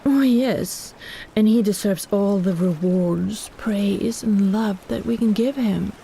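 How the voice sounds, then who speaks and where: A woman's voice: mid-range voice, takes it slowly, vocal fry, mellow, slight background noise, poor audio. A woman playing a possessive, teasing kraken in a roleplay audio drama, cooing and bossing like a doting big sister. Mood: pushy, teasing.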